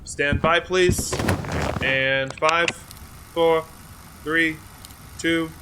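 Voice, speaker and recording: Polite floor manager, loud noise. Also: male voice, fairly high pitch, steady tone, very slow. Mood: courteous, businesslike. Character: polite, deferential television crew floor manager. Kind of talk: audio drama acting. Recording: loud background noise with clicks.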